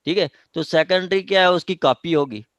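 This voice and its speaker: A man with a Pakistani accent explaining law to a class informally and haltingly, often checking that they follow. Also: on the high side, no echo. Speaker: law lecturer. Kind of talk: informal classroom legal explanation with question and answer.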